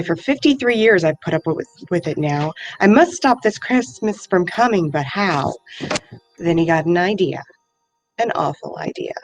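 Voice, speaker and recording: A woman's voice: on the low side, poor audio. A woman reading a children's rhyming storybook aloud. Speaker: library staff member reading aloud.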